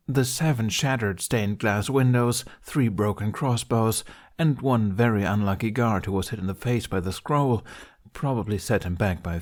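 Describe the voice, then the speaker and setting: A man's voice: fairly low pitch, darkish tone. A man reading scripted fan fiction aloud as an amateur story narrator.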